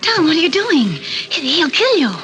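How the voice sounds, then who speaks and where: A frightened companion in a 1940s radio crime play. Her voice is high and very animated, full of fretful exclamations, on a vintage recording. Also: moderate pace, slight background noise, poor audio. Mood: alarmed. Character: frightened, anxious woman, detective's companion.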